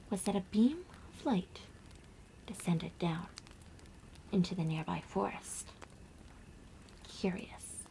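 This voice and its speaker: A woman playing a gentle young girl on a camping trip in an ASMR roleplay, speaking softly and breathily with hushed, dramatic pauses. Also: mid-range voice, poor audio. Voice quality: soft, breathy whisper. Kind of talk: ASMR roleplay, soft whispered storytelling.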